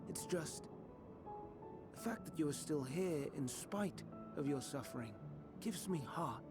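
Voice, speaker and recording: A man's voice: fairly high pitch, lively intonation, over loud music. Light-voiced, melancholy male scholar. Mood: earnest, wistful. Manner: measured, formal, archaic phrasing. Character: soft-spoken melancholy male scholar, idealistic, quietly tormented. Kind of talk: dramatic video game voice acting.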